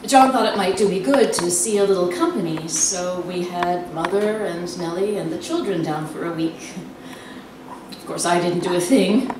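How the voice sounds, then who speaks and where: Echoey stage monologue, actress as nervous confined wife. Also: female voice, fairly low pitch, natural voice. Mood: weary. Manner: halting, dramatic, with drawn-out words and pauses. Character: lonely, nervous, confined wife slipping into obsessive madness. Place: live performance in a cafe venue. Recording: very echoey room, distant mic, noisy.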